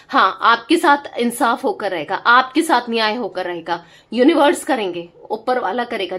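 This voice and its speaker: A young woman giving a tarot reading straight to the viewer. She has a sore throat from a cold and talks emphatically, repeating herself and often checking in with the viewer. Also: high voice. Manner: emphatic, repetitive, talks straight to the viewer with frequent "theek hai?". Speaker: tarot reader. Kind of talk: direct-to-camera tarot reading.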